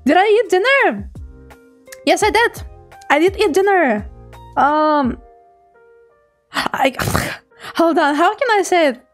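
Young woman VTuber, high-pitched, giggly and playful, casual chat with viewers at a home desk mic. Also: expressive, slow pace, long pauses, faint music behind. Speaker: VTuber streamer. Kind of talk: casual chat reading chat messages.

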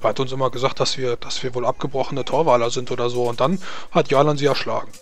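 A man voicing a naive, blunt and gossipy dwarf adventurer in a tabletop roleplay, halting and repeating himself. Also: mid-range voice, little pitch movement, lightly acted. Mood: naive, complaining. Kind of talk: improvised in-character tabletop roleplay.

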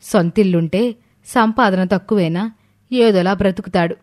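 A woman's voice: medium pitch, normal speed, background noise, natural voice. A woman as a stern older mother. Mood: firm. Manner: reproachful, firm. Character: stern, aggrieved older mother of grown sons.

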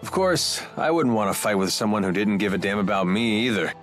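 Man playing roguish mercenary, cocky swagger, lowish voice, casual and wry, video game dub, light music behind. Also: expressive, fairly quick, natural voice.

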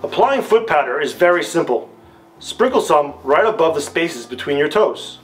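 A man acts out an upbeat, authoritative army captain in a retro training film. His American voice sits a bit high and is formal and didactic, with guitar music playing behind it. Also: sing-song, moderate pace, poor audio. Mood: instructive, assured. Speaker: instructor in a military training film. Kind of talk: scripted retro instructional film delivery. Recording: music under the voice. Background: background guitar music.

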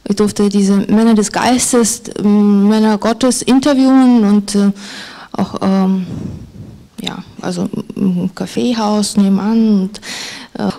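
A woman's voice: medium pitch, fairly even intonation, slow pace, gravelly, mellow. Hesitant woman author on a stage mic. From former Soviet Union. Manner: hesitant, with many fillers and restarts. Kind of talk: stage interview and reading aloud. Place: literary event on a stage, indoors. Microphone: handheld mic.

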